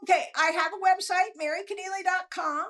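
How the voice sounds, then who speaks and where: Woman w/ a really high voice, casual interview guest, pokes fun at herself. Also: fairly even intonation, a little fast, fairly bright tone. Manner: self-deprecating, joking. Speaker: guest novelist. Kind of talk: casual chat.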